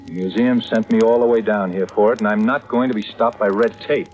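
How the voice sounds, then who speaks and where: A man's voice: on the low side, steady tone, fairly quick, darkish tone, faint music behind, close to an everyday voice. An actor playing an earnest, determined man in a 1940s radio thriller, speaking in a dramatic manner. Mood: insistent, polite. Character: earnest, determined man haunted by growing dread. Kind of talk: 1940s radio acting with first-person narration. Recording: vintage radio drama, musical underscore.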